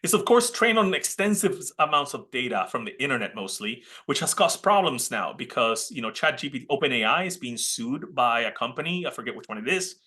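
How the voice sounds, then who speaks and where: A man in his thirties from Puerto Rico with a Puerto Rican Spanish accent, giving a chatty webinar talk as a college dean. He restarts his sentences a lot and fills pauses with "uh". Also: mid-range voice, big pitch swings, bright, forceful tone. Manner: chatty, many restarts and "uh" fillers. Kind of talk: casual presentation talk. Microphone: computer mic on a video call.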